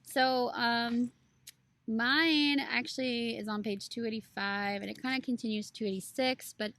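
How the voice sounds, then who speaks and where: A woman with a bright, clear tone talks through a book passage in a loose, casual way. She rambles and circles back, filling her sentences with "like" and "you know". The talk stays earnest and unscripted. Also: on the high side, steady tone, measured pace. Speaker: book club co-host. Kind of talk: casual podcast discussion.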